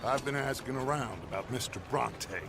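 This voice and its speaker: A man playing a grandiose American outlaw gang leader in a video game, speaking in a measured, theatrical way. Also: mid-range voice, gravelly, natural voice. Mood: wry, measured. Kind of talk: cinematic video game acting. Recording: music behind the voice.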